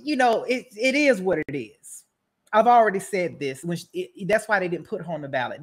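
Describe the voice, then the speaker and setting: A woman giving casual, profane roast commentary as a show host, brash and mocking, rambling and repeating her phrases. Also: medium pitch.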